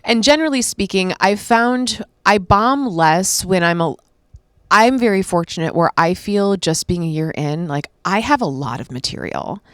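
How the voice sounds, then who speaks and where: A woman's voice: mid-range voice, normal speed, no echo, studio quality. A woman in her late thirties, a stand-up comic, talking candidly and at length in a casual podcast chat. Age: 30s. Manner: candid, rambling, self-reflective. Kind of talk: casual conversation.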